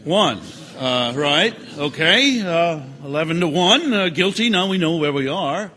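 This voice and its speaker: A man's voice: a little high, lively intonation, unhurried, bright, forceful tone. A man playing a polite, hesitant jury foreman trying to keep order in an audio stage drama. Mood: procedural, unsure. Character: fussy, polite, unsure male jury foreman. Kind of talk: stage radio drama acting.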